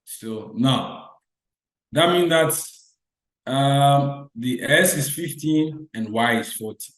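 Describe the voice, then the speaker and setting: A man's voice: mid-range voice, lively intonation, very slow, fairly bright tone, reverberant, poor audio. A man teaching an online lecture who quizzes students with repeated short questions and restates his points. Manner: repetitive call-and-response questioning.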